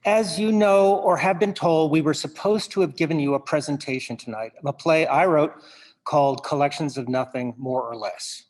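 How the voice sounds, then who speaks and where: A man, a playwright, addresses the audience in a theatrical monologue, wry and halting, given to wordplay. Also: fairly high pitch, a little mellow.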